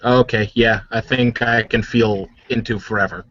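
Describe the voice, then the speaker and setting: A man chatting casually as a player in a tabletop game, slangy and joking, with halting phrasing. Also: medium pitch, steady tone, a bit bright, muffled recording, slight background noise.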